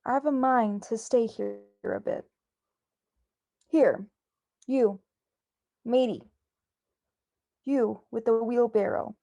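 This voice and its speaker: Woman acting a slow, gruff old pirate captain. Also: a little high, mellow. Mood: brusque. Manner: nautical slang, barked orders. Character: gruff, bossy, wary old male pirate sea captain. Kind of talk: amateur radio drama acting.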